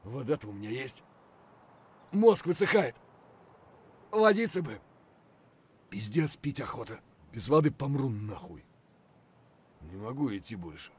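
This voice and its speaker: An actor playing a gruff, foul-mouthed Russian soldier with a husky voice, speaking in terse phrases for a military shooter game. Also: male voice, mid-range voice, sing-song, unhurried, pauses often. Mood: pained, weary.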